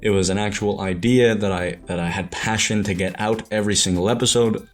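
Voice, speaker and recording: A man's voice: on the low side, steady tone, brisk, faint music behind. A young adult man from Ireland, a show creator, talking through a rambling, hesitant reflective monologue full of restarts and self-corrections.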